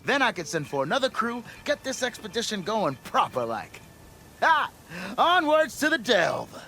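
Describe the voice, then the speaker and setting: An actor playing a cheerful, boastful expedition leader in a video game, florid and flattering, breaking into sudden laughs. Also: male voice, sits high, moderate pace, exaggerated voice. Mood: jubilant. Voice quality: theatrical, florid. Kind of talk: video game cutscene acting.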